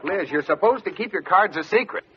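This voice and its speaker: An actor playing a wry, exasperated husband in a vintage radio sitcom. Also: male voice, high-pitched, animated, fairly quick, a faint crowd. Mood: patient, wry. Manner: wry, harried explaining. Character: exasperated husband, wry, patient then fed up. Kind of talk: 1940s-50s radio sitcom acting. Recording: muffled, very noisy old radio recording.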